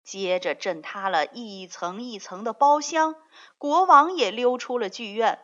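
Slow, high-voiced woman telling children's stories. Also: lo-fi sound. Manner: expressive, enunciated storytelling to young listeners. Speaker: children's storyteller. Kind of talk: scripted fairy-tale narration for children.

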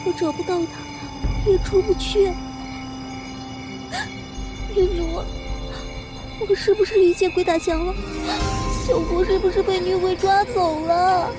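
A woman's voice: very high-pitched, steady tone, measured pace, pauses often. A woman acting a timid, frightened young servant girl in an audio drama, whimpering, stammering and pleading. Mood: scared, lost. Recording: music behind the voice.

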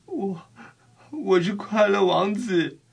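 A man playing a gentle, sorrowful prince statue, speaking earnestly and mournfully in a children's audio storybook. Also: sits high, lively intonation, slow pace, vocal fry, breathy edge, exaggerated voice. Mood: sad, apologetic.

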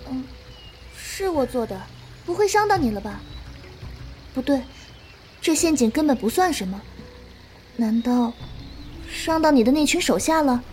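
A woman playing a gentle, patient young martial arts disciple in a Chinese period audio drama, soft-spoken and earnest. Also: high-pitched, expressive, measured pace, pauses often, soft background music. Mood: calm, concerned.

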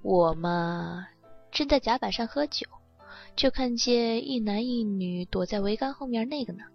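A woman's voice: on the high side, animated, measured pace, gravelly, soft background music, exaggerated voice. Dull recording, a woman acting a cool, teasing castaway. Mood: nonchalant. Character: shipwrecked young woman, cool, teasing, nonchalant drinker. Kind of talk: audio drama dialogue.

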